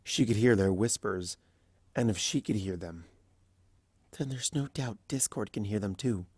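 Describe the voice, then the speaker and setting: A man's voice: on the low side, normal speed, a little mellow, slightly rough, dry recording. A man giving a scripted narration of a story as a fan fiction reader.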